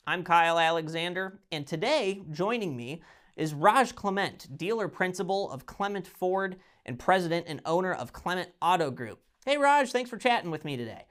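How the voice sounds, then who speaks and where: Male host with a slightly higher voice, friendly and casual, asks questions in an easygoing way. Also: lively intonation, moderate pace, fairly bright tone. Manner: friendly, casual interviewer with "kinda" fillers. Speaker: interview host. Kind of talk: hosted video interview.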